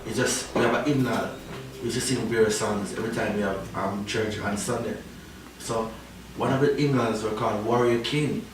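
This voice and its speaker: A man's voice: lowish voice, fairly even intonation, normal speed. A man from Jamaica with a Jamaican accent, a reggae artist in a casual interview who rambles and keeps tagging his sentences with "you know?". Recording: echoey, distant, faint noise.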